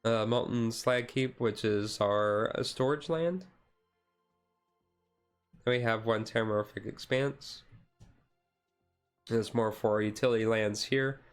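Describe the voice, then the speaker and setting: A man streamer giving a casual, chill card-deck walkthrough, halting and self-correcting, his voice a little tired. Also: fairly low pitch, clear, resonant tone, faint music behind. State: voice tiring. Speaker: streamer, card game hobbyist.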